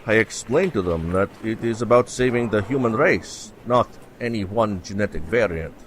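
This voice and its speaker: An actor playing a blunt, pragmatic professor in a science fiction radio play. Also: male voice, on the low side, moderate pace, a little hiss or hum, lightly acted. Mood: matter-of-fact. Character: blunt, pragmatic male professor on a space project. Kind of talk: scripted sci-fi radio play.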